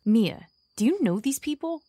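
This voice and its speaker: A woman's voice: sits high, big pitch swings, normal speed, warm tone, dry recording, clean studio sound. An actress playing a sarcastic, distrustful young woman archer in an audio drama, with an irritated, sardonic delivery. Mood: sardonic threat.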